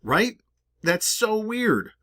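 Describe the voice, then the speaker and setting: A man's voice: high-pitched, sing-song, very slow, over music. A man hosting a video with casual, rambling and emphatic conversational commentary. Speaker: video host.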